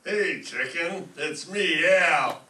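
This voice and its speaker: A man playing a boastful, swaggering, slangy lowlife boyfriend in an old-time radio comedy. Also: slow, pushed and bright, a little hiss or hum, exaggerated voice. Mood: breezy. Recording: echoey stage, distant microphone.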